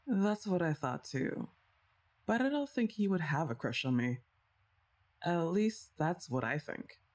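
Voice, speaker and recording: A woman's voice: on the low side, expressive, a little slow, a little mellow. Woman playing a chatty, teasing older sister. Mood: playful. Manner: chatty, teasing, laughs often. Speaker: voice actress. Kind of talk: ASMR roleplay addressed to the listener.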